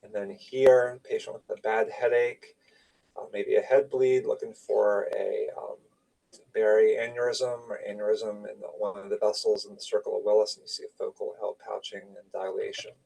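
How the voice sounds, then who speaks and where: A man with a lowish, creaky voice teaches radiology in an informal lecture style. He talks through slides in short, conversational phrases. He sounds some distance from the microphone. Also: level tone, moderate pace, warm tone.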